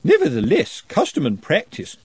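Guy putting on a stern prim old housekeeper lady, high and sharp, huskyish. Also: huge pitch swings, moderate pace, husky, no echo, full character voice. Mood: severe. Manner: severe, prim, ends statements like questions. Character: severe older female housekeeper, prim.

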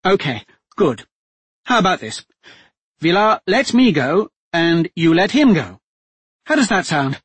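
A pushy duchess who talks her way through everything, as a man puts her on, pitched well up and bouncing all over the place. The voice is breathy and sits close and dry on the mic, as in an audiobook. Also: very animated, a little slow, pauses often, no room sound, heavily acted. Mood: bossy. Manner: pushy, insistent. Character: portly middle-aged duchess, bossy fast-talking haggler.

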